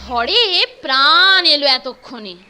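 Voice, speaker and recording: A woman's voice: high-pitched, animated, slow pace, bright, forceful tone, soft background music, full character voice. A woman voicing a whiny young schoolboy sidekick in a cartoon, talking in pleading exclamations. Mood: weary.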